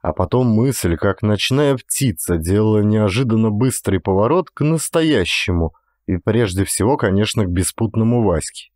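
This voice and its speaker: A man narrating literary prose as an audiobook actor, in a measured storytelling manner. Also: on the low side, lively intonation, darkish tone, dry recording, clean studio sound.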